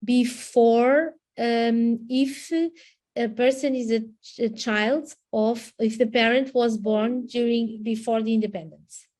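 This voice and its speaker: A woman's voice: fairly high pitch, steady tone, takes it slowly, a little mellow. Woman with a Portuguese accent, lawyer, hesitant, repeats herself, video call. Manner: hesitant, frequent restarts and repetitions. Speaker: immigration lawyer. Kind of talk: webinar Q&A answers.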